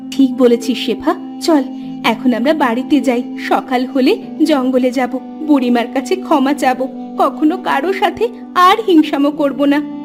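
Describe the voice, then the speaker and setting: Woman as elder sister, cartoon, gentle, sensible, regretful, high female voice, music behind. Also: a little slow.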